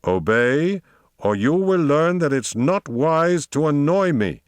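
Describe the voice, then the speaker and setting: A man's voice: on the high side, sing-song, takes it slowly, clean tone, no room sound, pitched above the performer's own voice. A man voicing a lonely, domineering dog owner from a storybook, speaking in a condescending, commanding and theatrical way. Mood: menacing. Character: lonely domineering man, patronizing, menacing, maudlin with his dog.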